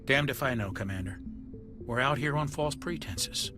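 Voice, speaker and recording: A man's voice: medium pitch, moderate pace, over music, natural voice. Male actor as distrustful military navigator, mid-range voice, grumbling sci-fi game delivery, prominent score underneath. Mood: wary, grumbling. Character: wary, suspicious male military navigator on a spaceship. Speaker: ship's navigator and officer. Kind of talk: video game voice acting.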